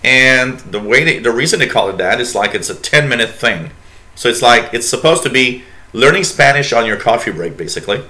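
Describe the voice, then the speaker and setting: A French-speaking man from Quebec hosts a live stream in English with a noticeable French accent. His voice is bright and forward, in the middle of the male range. He drifts from one topic to the next, with plenty of "uh" and "um" along the way. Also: mid-range voice, lively intonation, fairly quick. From Quebec, Canada. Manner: rambling, reads chat messages aloud, many fillers. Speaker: radio show host. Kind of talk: casual live-stream chat.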